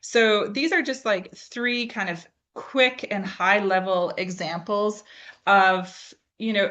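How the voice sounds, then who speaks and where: A woman's voice: medium pitch, bright, forceful tone. A woman speaking as an expert presenter in an informal talk, explaining things with rhetorical questions and conversational check-ins. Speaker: AI expert presenting an online discussion.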